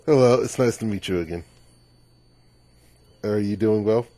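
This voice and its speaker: A man at a tabletop game gives quick, polite replies in character. His voice sits at a middle pitch, and the sound is dry and close. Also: dark tone, no echo. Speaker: player.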